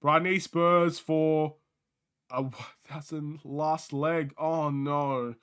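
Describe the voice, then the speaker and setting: A young man from Australia with a light Australian accent, hosting a live game watchalong in casual, excitable play-by-play chat, often laughing. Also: a little high, takes it slowly, clean tone.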